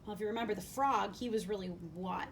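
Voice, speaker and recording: A woman teaching young children, expressive and questioning, explaining a story in simple terms. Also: quick pace. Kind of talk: children's lesson discussion. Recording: slightly distant mic, faint rumbling noise.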